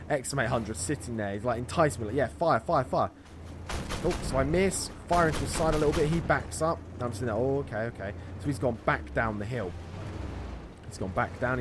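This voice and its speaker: Male gaming host, mid-pitched, running play-by-play in short bursts, clear noise behind the voice. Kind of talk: gameplay commentary.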